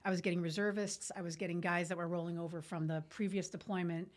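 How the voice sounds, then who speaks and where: A woman's voice: lowish voice, fairly even intonation, quick pace. A woman telling a story informally as a podcast guest, candid and colloquial, with military jargon.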